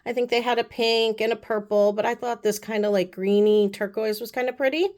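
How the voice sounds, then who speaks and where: A young woman talks through a beauty haul in a loose, rambling way, full of "like" and "um". She is still sick with the flu, and her voice sits a little high. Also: fairly even intonation, brisk, a little mellow. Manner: chatty, rambling, lots of fillers. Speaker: beauty vlogger. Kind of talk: casual haul chat.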